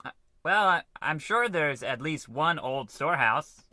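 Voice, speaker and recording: A man's voice: fairly high pitch, expressive, a little slow, pushed and bright, no echo, full character voice. An actor playing a timid, earnest, long-suffering spaceship crewman in an old-time radio comedy, hesitant and deferential. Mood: earnest, nervous.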